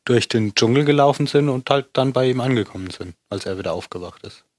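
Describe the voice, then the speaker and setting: A man's voice: mid-range voice, lively intonation, a little fast, dry recording, studio quality. A man in a casual podcast discussion of a TV series, explaining and arguing his view informally. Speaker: podcast co-host.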